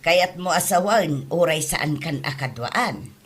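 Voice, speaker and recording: Woman acting supportive ilocano mom, husky, lots of up and down, drama. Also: low-pitched, animated, takes it slowly, exaggerated voice. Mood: tender. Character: supportive, caring mother.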